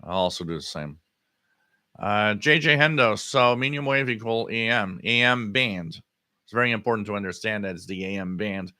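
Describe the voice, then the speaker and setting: Bright-voiced man hosting a casual livestream. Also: on the low side, no echo, clean studio sound. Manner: halting, repeats words and phrases. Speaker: livestream host. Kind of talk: casual live radio-hobby chat, reading and answering viewer comments.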